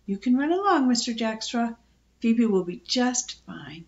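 A woman voicing a no-nonsense woman of a farmhouse in a children's storybook, brisk and stern. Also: a little high, very animated, dull audio. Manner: brisk, stern, matter-of-fact. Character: firm, sensible, no-nonsense woman who keeps a farmhouse.

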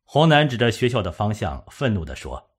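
A man narrating a suspense novel as an audiobook, in a measured, dramatic storytelling manner. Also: lowish voice, very animated, breathy edge.

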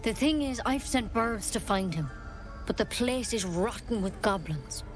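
An earnest druid woman from a fantasy game, knowledgeable and frank. She explains things in a measured way, with faint music underneath. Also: fairly high pitch, animated. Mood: earnest, urgent. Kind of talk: video game dialogue acting.

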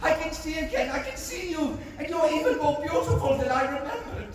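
A man's voice: extremely high pitch, animated, a little fast. A man playing an earnest, romantic fairy-tale prince in a live comedy read. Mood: overjoyed, loving. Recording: echoey hall, distant, very noisy.

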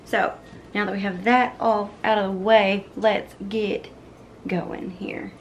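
A woman's voice: mid-range voice, level tone, slow pace, a little hiss or hum. A young woman in her twenties chatting casually while cooking in her home kitchen, filmed on a camera set on the counter. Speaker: cooking vlogger.

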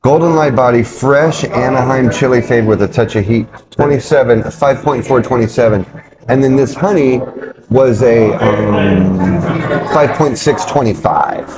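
A middle-aged man with an average-pitched voice chats casually as a co-host. He speaks bluntly, in short slangy phrases, with a laid-back way about him. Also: moderate pace, rough recording. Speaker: co-host of a beer review show. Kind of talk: casual chat. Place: brick-walled pub, indoors at a table.

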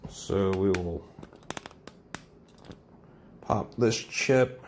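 A man's voice: deep voice, drawn-out words, a little mellow, slight background noise. A man casually walking through an electronics hobby mod at his workbench, in a rambling, self-deprecating way. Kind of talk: casual technical walkthrough. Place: home workbench with computer gear.

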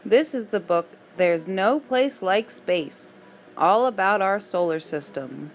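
A woman reading a rhyming children's book aloud with a measured, rhythmic delivery. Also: mid-range voice, expressive. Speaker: storybook reader. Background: faint music.

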